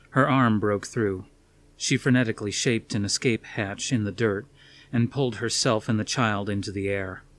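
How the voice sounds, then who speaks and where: A man reading a dramatic story as scripted audiobook narration. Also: lowish voice.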